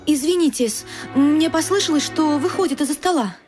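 A woman's voice: high voice, moderate pace, darkish tone, soft background music, natural voice. An actress playing a naive, skittish young lady in a radio vaudeville, prim, girlish and artless. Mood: flustered. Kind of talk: stage vaudeville radio play acting.

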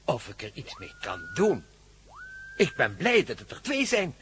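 A man playing an eager, deliberate professor in a Dutch radio play, hesitant and self-important. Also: sits high, huge pitch swings, a bit bright, slight background noise, heavily acted. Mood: pleased, deliberate. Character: excitable, deliberate male professor scientist, eager researcher.

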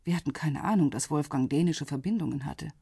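An actress playing a cool, insistent police intelligence investigator in a radio crime drama, husky, controlled and businesslike. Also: female voice, sits low, fairly quick, warm tone, no room sound, clean studio sound, exaggerated voice. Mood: factual, businesslike. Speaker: supporting actor.

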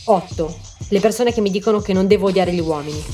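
A woman narrating a scripted first-person monologue as a numbered list, in a deadpan, sardonic manner. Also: mid-range voice, quick pace, over music. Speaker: podcast writer and narrator.

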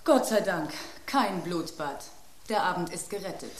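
A woman's voice: fairly low pitch, animated, measured pace. An actress playing an anxious, poetic woman in a radio drama, theatrical and exclamatory. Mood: relieved, wistful. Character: melodramatic, anxious, poetic woman at a tense dinner. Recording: roomy sound with hiss.

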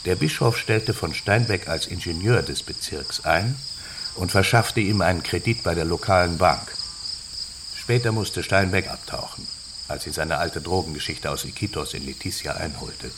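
A deep-voiced, grave padre tells what he knows in a radio drama. His delivery is measured and deliberate, with clear night noise of crickets and insects around him. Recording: clear insect-filled ambient noise behind voice.

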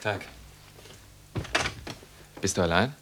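An actor in a radio drama playing an urgent resistance comrade, urging others on in naturalistic dialogue. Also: male voice, low-pitched, slow, noisy background.

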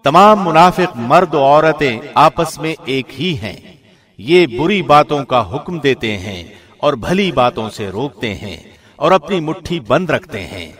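A man reading a scripted scripture translation in a formal, deliberate radio presenter's delivery. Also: fairly high pitch, sing-song, a bit bright.

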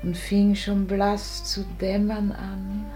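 An actress playing a sorrowful, lonely mother in a solemn verse radio drama, reciting in a hushed, brooding way. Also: medium pitch, monotone, soft background music, lightly acted. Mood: wistful brooding. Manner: slow, hushed, poetic recitation fading into breathy whispers.

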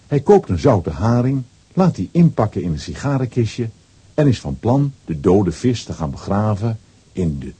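A man narrating a children's audio play as a storyteller, reading scripted lines with lively expression. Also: lowish voice, husky, exaggerated voice. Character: male storyteller of a children's comic adventure.

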